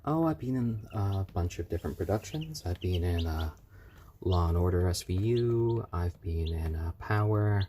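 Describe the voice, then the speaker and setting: A man's voice: sits low, lively intonation, normal speed, dark tone, a little hiss or hum. A man hosting a casual live stream, chatting easygoingly with viewers and cooing over his baby chicks at home.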